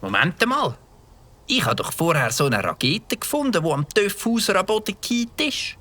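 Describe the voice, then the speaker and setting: A man playing an eager, mischievous young boy with a Swiss German accent, excitably thinking aloud in a children's audio drama. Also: high-pitched, normal speed, heavily acted. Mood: pondering. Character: Mike, eager mischievous young boy who loves firecrackers.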